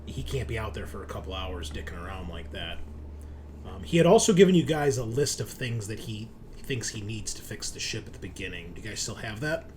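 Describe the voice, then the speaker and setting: A man's voice: on the low side, expressive, fairly quick. A man casually explaining a tabletop game situation as game master, in an informal way. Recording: home session with loud background noise.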